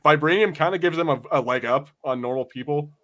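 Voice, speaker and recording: A man's voice: fairly high pitch, fast, a bit bright. A man co-hosting a podcast, chatting casually about pop culture in a profane, exasperated ranting manner. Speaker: podcast co-host.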